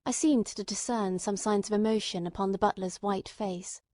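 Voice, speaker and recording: A woman's voice: mid-range voice, fairly even intonation, normal speed, a little mellow, no room sound, studio quality. A woman reading classic mystery fiction as scripted audiobook narration.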